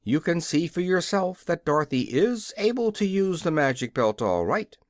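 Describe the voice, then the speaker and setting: A good-natured storybook scarecrow, voiced by a man, earnest and proud of himself. The voice sits somewhat raised with lively intonation, recorded dry. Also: a little high, normal speed, no echo, voice pushed up. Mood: assured. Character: self-satisfied, good-natured male scarecrow, proud of his brains.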